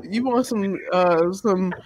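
A man's voice: high voice, slow pace, darkish tone, a little hiss or hum, low-quality recording. A man co-hosting a casual podcast, joking and teasing in loose banter. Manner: playful, teasing, repeats himself. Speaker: co-host.

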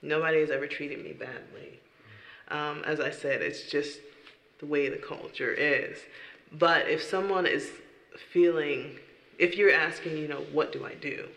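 A woman's voice: deep voice, fairly even intonation, measured pace, fairly bright tone, roomy sound, rough recording. A woman who sings in worship, giving an earnest, emphatic personal testimony in an interview. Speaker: worship singer.

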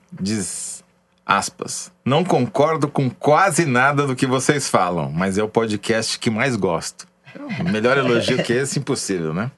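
A man with a São Paulo accent co-hosts a political talk podcast at a mid pitch. He is wry and chatty and laughs often with his colleagues. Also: a bit bright. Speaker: podcast co-host. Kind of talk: casual political podcast chat.

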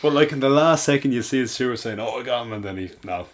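A man with an Irish accent co-hosting a casual podcast, relaxed and a little hesitant, often restarting his sentences. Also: mid-range voice, fast talker. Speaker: guest co-host. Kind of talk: casual chat.

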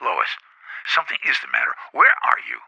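Sharp, tinny worried father on phone. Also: very deep voice, wildly swooping pitch, gravelly, breathy edge, exaggerated voice. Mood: puzzled concern. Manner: halting, anxious questioning. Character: puzzled, concerned middle-aged father, a radio producer. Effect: telephone filter. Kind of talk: 1950s radio suspense acting. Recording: 1950s radio, filtered phone voice.

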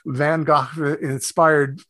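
A man hosting a conversational radio interview, with hesitant, halting and roundabout phrasing. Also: a little high, level tone, a bit bright, dry recording.

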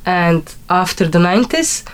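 A woman's voice: fairly low pitch, sing-song, normal speed, clear, resonant tone. A woman from Croatia with a Croatian accent, talking conversationally as an interview guest in English, her second language.

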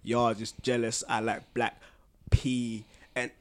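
A man's voice: medium pitch, level tone, takes it slowly. A British man with a London accent co-hosting a casual podcast, talking in a slangy, colloquial way. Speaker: podcast co-host.

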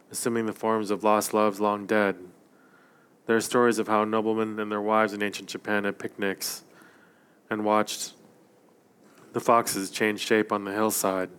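Male reader, low smooth voice, calm reflective literary delivery. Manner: measured, reflective. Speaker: narrator. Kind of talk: literary reading.

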